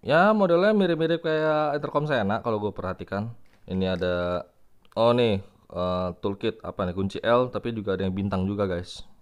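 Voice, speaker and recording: A man's voice: on the low side, moderate pace, clean tone. A young man. He talks informally, like a vlogger showing a product to friends. His delivery is chatty and casual, in a home-recorded review style. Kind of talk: casual unboxing and product demo. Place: room at home with tiled floor.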